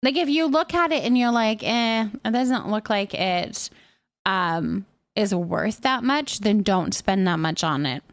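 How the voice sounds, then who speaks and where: A woman's voice: mid-range voice, expressive, unhurried. A woman chatting casually as a live streamer, reading viewer comments aloud and musing on them. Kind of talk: casual livestream chat.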